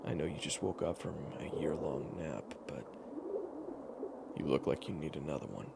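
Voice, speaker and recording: A man narrating a dramatic roleplay story in a hushed, confiding way. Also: very deep voice, fairly even intonation, normal speed, vocal fry, very noisy.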